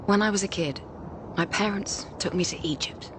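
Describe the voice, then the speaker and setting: An actress playing a hardened renegade space explorer in a radio drama, idealistic yet ruthless, speaking gravely and deliberately. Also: female voice, medium pitch, noisy background, natural voice. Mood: bitter. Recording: music behind the voice.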